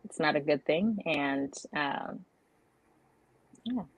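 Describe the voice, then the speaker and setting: A woman's voice: low voice, lively intonation, slow pace, no room sound, highs cut off. A woman from Kenya with a Kenyan accent, talking casually as a panel guest with many fillers.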